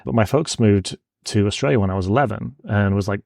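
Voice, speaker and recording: A man's voice: on the low side, fairly quick, gravelly, darkish tone, no echo, clean studio sound. A man from Canada with an American Denver accent, a podcast guest chatting casually and self-deprecatingly about his work. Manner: self-deprecating, laughing often. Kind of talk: casual interview chat. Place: home, evening, remote call.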